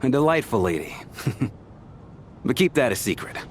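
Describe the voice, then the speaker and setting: A man's voice: medium pitch, very animated, moderate pace, a little hiss or hum, lightly acted. A man voicing a genial, easygoing companion who talks in a breezy, reassuring way. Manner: genial, breezy, calls her lass.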